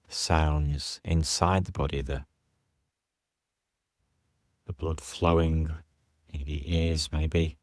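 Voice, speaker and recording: A man with a very deep, evenly pitched voice leads a guided meditation. He speaks slowly and soothingly, in short phrases with space between them, as a yoga teacher instructing a relaxation practice. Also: level tone, no room sound, clean studio sound.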